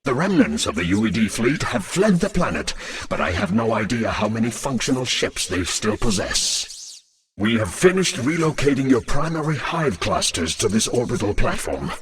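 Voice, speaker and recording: A man's voice: mid-range voice, big pitch swings, moderate pace, a little hiss or hum, natural voice. A man playing a deferential military lieutenant in a sci-fi game, reporting formally to his queen. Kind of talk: dramatic sci-fi game voice acting.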